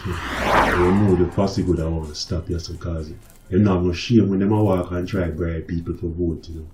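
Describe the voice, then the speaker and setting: A cheeky Jamaican street man , played by a male actor, in a radio drama. His voice is on the low side and quick to tease or flare up. It sounds very echoey, with music playing behind him. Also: steady tone, dark tone, close to an everyday voice. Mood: indignant. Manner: colloquial, jeering banter. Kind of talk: radio drama dialogue in Patois.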